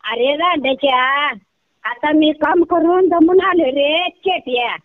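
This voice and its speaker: An older woman's high voice comes through a narrow, tinny phone line. She has a rural Kolhapur Marathi accent and talks bluntly and earthily in casual conversation. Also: slow, dry recording. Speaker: prank call recipient, video creator.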